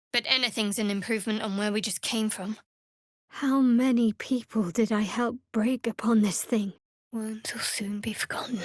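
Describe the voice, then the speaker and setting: A young cleric of a dark goddess in a fantasy game, speaks in a fairly high voice. She is guarded and sardonic, with a dry wit, and the sound is close and dry with very little room. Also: no echo. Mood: hushed. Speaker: video game character.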